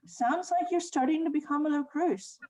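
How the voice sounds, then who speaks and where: A teasing, motherly innkeeper played by a woman in a radio play. The voice is high and very animated, taking its time over each fussy phrase. The sound is close and dry. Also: measured pace, no room sound, low-quality recording. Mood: amused, teasing. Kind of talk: stage radio play acting.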